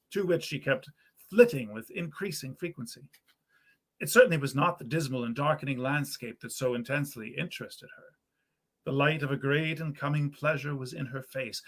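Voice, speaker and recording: A man with a slightly high voice reads old-fashioned literary fiction aloud. His delivery is theatrical and genteel, the expressive manner of an actor telling a period story. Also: slightly rough. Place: period parlor in a historic house.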